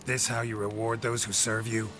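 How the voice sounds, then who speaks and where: A very deep-voiced, laconic monster hunter from a fantasy game, acted by a man. His delivery is dry and blunt, with few words and a flat, unbothered manner. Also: normal speed, natural voice. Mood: bitter. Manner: deadpan, terse. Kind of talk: dramatic video game voice acting.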